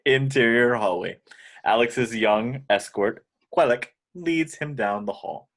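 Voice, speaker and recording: A man's voice: medium pitch, slow pace, a bit bright. A man narrating scripted stage directions at an amateur table read.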